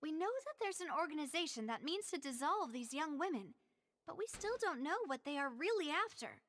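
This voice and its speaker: High-voiced, lively young gang boss. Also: female voice, brisk, no room sound, studio quality. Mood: composed. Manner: articulate, eloquent, dramatic. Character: confident young female gang boss, poised, theatrical, playful. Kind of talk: anime video game voice acting. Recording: faint music behind the voice.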